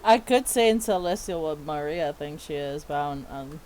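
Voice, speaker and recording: A woman's voice: low voice, expressive, normal speed, darkish tone, a little hiss or hum. A woman playing a tabletop game, playful and giggly, with casual slang. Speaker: player.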